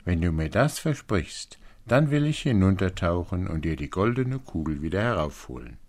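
A man's voice: deep voice, very animated, moderate pace, a little mellow. A man voicing an old frog in a fairy tale, with an insistent, bargaining manner.

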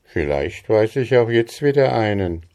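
A man voicing a thoughtful, resourceful young shepherd in a storybook reading, deliberate and reflective. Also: on the low side, warm tone. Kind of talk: fairy-tale storybook reading.

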